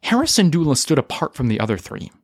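Expressive male story narrator, dry close sound. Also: mid-range voice, fast talker, creaky, husky, no room sound. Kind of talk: scripted audio drama narration.

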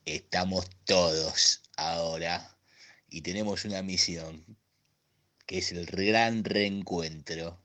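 A man playing an excitable, scheming Argentine former classmate in a comic radio drama, teasing and repeating himself. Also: sits low, slow. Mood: excited. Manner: teasing, repetitive.

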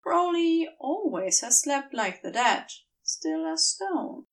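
A woman reading scripted fiction aloud as a storyteller, in a measured manner with deliberate pauses. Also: high-pitched, very animated, gravelly, distant mic. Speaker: podfic reader.

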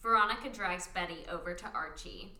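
A woman's voice: on the low side, brisk, pushed and bright. A woman reading scripted stage directions as the narrator of a radio play.

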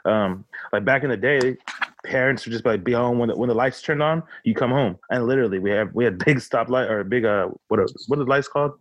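A man's voice: mid-range voice, fast talker, muffled recording, a little hiss or hum. A young man in casual chat, rambling through stories, filling them with "like" and "literally".

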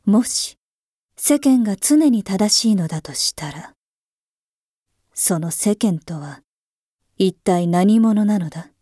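A woman voicing a world-tired figurehead emperor who speaks in archaic, imperious phrasing, musing slowly and half-whispering in a voice drama. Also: medium pitch, lively intonation, warm tone, no room sound, clean studio sound. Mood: brooding. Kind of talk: voice drama monologue.

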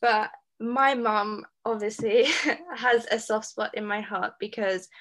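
A woman from an Indian background talking casually and warmly about her mother, chatty with fillers. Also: mid-range voice, slow pace. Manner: chatty, animated, fillers, growing emphatic. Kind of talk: casual personal talk.

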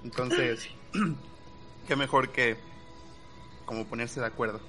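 A man's voice: medium pitch, takes it slowly, pushed and bright, faint music behind. A man playing a tabletop game, talking casually, often stumbling and repeating words. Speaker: player. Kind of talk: casual play chat.

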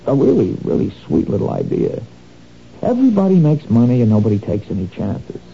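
A man's voice: medium pitch, huge pitch swings, a little fast, vocal fry. An actor playing a sly, menacing male crook who wheedles and threatens, in a 1970s radio drama. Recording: dull lo-fi old radio recording, faint noise.

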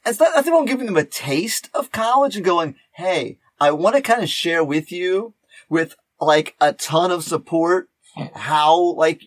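A man in his fifties with a fairly high voice, recorded close and dry. He talks casually as a podcasting teacher, animated, repeating words for emphasis and voicing imagined remarks. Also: a little slow, no echo. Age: 50s.

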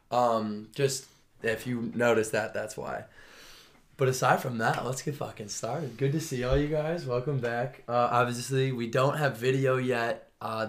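A young man with a raspy voice hosting a casual podcast with friends, swearing freely and joking. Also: mid-range voice. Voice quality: raspy voice from partying. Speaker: podcast host. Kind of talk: casual chat among friends.

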